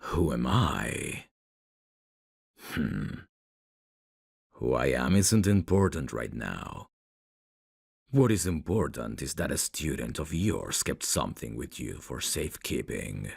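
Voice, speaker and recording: A man playing a menacing, teasing mafia boss in an ASMR roleplay, speaking in a deliberate, taunting way. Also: rumbling low, very animated, vocal fry, husky, no room sound, clean studio sound, heavily acted. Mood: calm, menacing. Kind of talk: ASMR roleplay audio drama.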